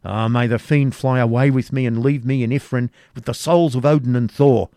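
A man's voice: fairly low pitch, animated, normal speed, dark tone, no echo, clean studio sound, natural voice. A man reading the part of a proud Saxon nobleman in disguise, speaking with impatient force.

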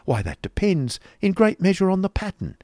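A man's voice: high-pitched, wildly swooping pitch, normal speed, warm tone, no room sound, studio quality, pitched above the performer's own voice. Man putting on a fluttery chatty lady painter. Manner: fluttery, prattling, exclamatory. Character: fussy chatty middle-aged woman miniature painter. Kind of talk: audiobook character voice.

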